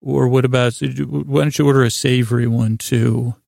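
A man hosting a bedtime podcast in a creaky, gravelly voice, rambling and halting, repeating himself and wandering into absurd asides. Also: mid-range voice, fairly even intonation, a little mellow, no room sound, clean studio sound. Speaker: podcast host. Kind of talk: rambling bedtime monologue to help listeners sleep.

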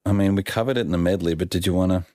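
Male co-host with a very deep voice and a dry close sound, chatty, quick to agree and tease. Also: dark tone, no room sound. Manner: frequent short agreeing interjections, teasing. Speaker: podcast co-host. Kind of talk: casual sports chat.

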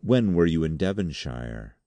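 A man voicing a stern, authoritative board chairman and magistrate in an audiobook story, measured, formal and commanding. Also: rumbling low, very animated, warm tone, dry recording, exaggerated voice.

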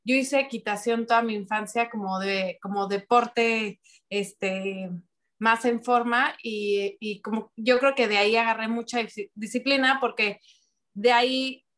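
A woman's voice: a little high, fairly even intonation, measured pace, a bit bright. Friendly rambling woman guest. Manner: friendly, rambling with restarts and fillers. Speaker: guest athlete. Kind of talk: casual interview chat.

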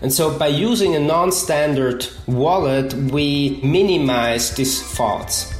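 A man from Switzerland with a Swiss accent, explaining his research in measured detail as an interview guest. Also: on the high side, echoey room, soft background music. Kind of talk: interview answers.

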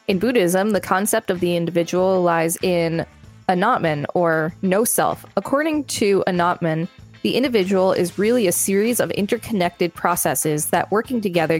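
Low-voiced woman reading aloud. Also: darkish tone. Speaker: podcast co-host reading aloud. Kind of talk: reading an article aloud with light commentary.

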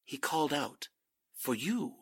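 An actor playing a suave, grandiose fake spiritualist cult leader in a 1940s-style radio drama, speaking formally and theatrically. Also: male voice, mid-range voice, sing-song, unhurried, a bit bright, slightly rough, no echo, studio quality, heavily acted. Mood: solemn, mystical.

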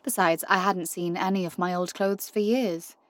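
A woman playing a wry, wistful giant from the country in a first-person audio drama monologue, telling her story expressively with hushed, confiding asides. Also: on the low side, fairly even intonation, moderate pace, no room sound, natural voice. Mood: wondering.

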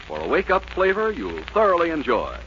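Bright, animated 1940s radio pitchman. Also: brisk, gravelly. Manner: emphatic sales delivery. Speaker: commercial announcer. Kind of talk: 1940s radio advertising read, scripted. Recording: muffled 1940s radio, faint hiss.

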